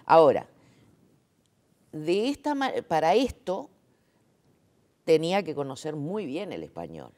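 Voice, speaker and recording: A woman explains her work in a relaxed interview. Her pitch moves animatedly as she stresses her points. She uses frequent fillers and rhetorical checks. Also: measured pace, long pauses. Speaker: interviewee, sign language interpreter. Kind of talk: conversational interview.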